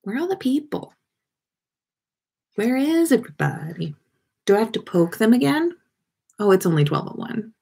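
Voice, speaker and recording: A woman's voice: a little high, big pitch swings, normal speed, gravelly, long pauses, dark tone, husky. A woman hosting a casual livestream, chatting with viewers in a playful, rambling way as she thinks aloud. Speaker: livestream podcast host. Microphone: webcam livestream setup.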